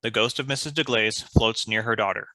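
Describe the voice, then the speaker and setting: A man's voice: lowish voice, steady tone, fairly quick, sharp tone, dry recording, low-quality recording. A man reading scripted stage directions as the narrator.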